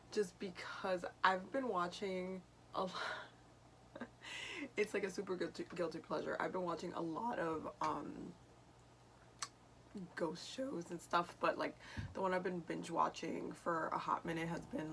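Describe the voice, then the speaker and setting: A young woman with a lowish voice that is raspy after just waking up. She tells a story to camera in a loose, chatty way, circling back and correcting herself as she goes. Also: fairly even intonation, normal speed, fairly bright tone, a little hiss or hum. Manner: chatty, meandering, frequent self-corrections. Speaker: vlogger and spiritual medium.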